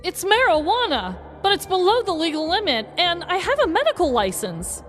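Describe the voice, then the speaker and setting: A man's voice: very high-pitched, huge pitch swings, moderate pace, fairly bright tone, soft background music, voice pushed up, heavily acted. A man voicing an earnest, flustered suburban mother, prim and pleading, in a comedic dramatized story. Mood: defensive, pleading.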